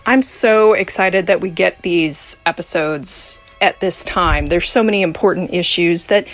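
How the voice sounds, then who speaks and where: A woman's voice: lowish voice, measured pace. Earnest woman commenting over music. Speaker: podcast listener giving feedback. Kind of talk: spoken listener commentary.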